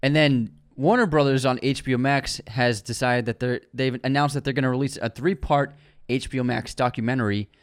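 A man co-hosting a movie news podcast, chatting in a relaxed, easygoing way and agreeing readily. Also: medium pitch, brisk, dry recording, studio quality. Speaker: podcast co-host. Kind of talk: casual chat about movie news.

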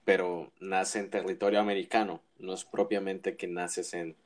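A man's voice: sits low, little pitch movement, moderate pace. A man co-hosting a casual wrestling chat, giving opinions with frequent restarts and tag questions. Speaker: wrestling podcast co-host.

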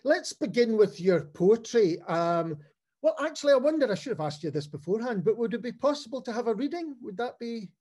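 A man's voice: very high-pitched, sing-song, a little fast, a little mellow. A man hosting a podcast, asking questions in a friendly, hesitant way. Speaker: podcast host. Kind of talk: interview.

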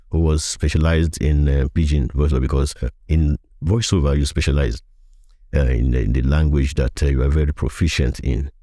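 Casting: older Nigerian male voiceover veteran, extremely deep and dark voice, reminiscing in interview. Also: dry recording, studio quality. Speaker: podcast guest, veteran voiceover artist.

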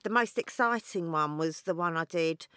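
A woman guest, a DJ and model, talking in a laid-back, giggly way with many pauses and fillers. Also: deep voice, fairly bright tone, smooth, no echo, clean studio sound. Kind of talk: casual chat interview.

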